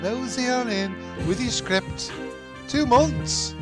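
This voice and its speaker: A man's voice: very high-pitched, takes it slowly. A man playing an exasperated, foul-mouthed character ranting repetitively in a comedy radio play monologue. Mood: indignant. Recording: loud music over the voice.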